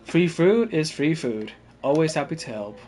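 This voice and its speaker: A man reacting casually to a game as he streams, informal, halting and repeating himself as he talks back. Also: on the high side, very animated. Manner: informal, halting and repetitive when arguing back. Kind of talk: casual live gameplay reactions. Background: game music.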